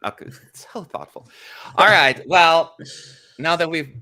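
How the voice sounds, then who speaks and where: A man hosting a casual online panel chat on a video call, talking in a lively way with lots of fillers, from a home room. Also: fairly high pitch, a little slow. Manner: lively, chatty, frequent "y'all" and fillers. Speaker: host. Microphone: studio mic on a video call.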